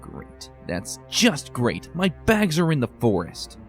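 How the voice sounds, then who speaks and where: A bookish young unicorn mare, earnest and a little anxious, done by a man in a raised voice. Her lines leap up high and swing widely as she insists. Soft music plays faintly under this fan storybook reading. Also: huge pitch swings, slow, heavily acted. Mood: insistent. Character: bookish determined young female unicorn pony.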